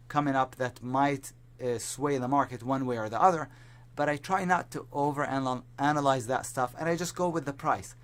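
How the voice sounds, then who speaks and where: Hoarse-sounding male market analyst. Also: medium pitch, a bit bright. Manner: halting, many restarts and fillers. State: strained voice. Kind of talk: trading market commentary.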